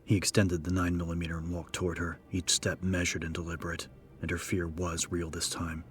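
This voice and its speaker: A man with a very deep voice narrates horror fiction. His delivery is grave, measured and level in pitch. Music plays clearly behind him. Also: level tone, fairly bright tone. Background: prominent background music.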